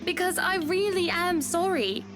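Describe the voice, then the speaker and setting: High-voiced, haughty flirtatious lady. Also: lively intonation, unhurried. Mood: apologetic. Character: flirtatious, wealthy, polished woman, wounded when refused. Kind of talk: visual novel voice acting. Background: game music.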